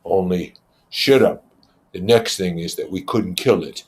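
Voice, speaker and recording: A man's voice: low-pitched, a little slow. A man voicing an aggressive, contemptuous schoolboy hunt leader in a storybook reading, commanding and accusing. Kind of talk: storybook character voice.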